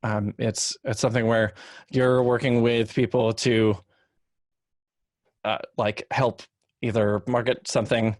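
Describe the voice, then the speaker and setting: A man giving casual advice as a panelist, informal and explanatory, often halting to rephrase himself. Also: mid-range voice, little pitch movement, dry recording. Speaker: expert panelist. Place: online video call.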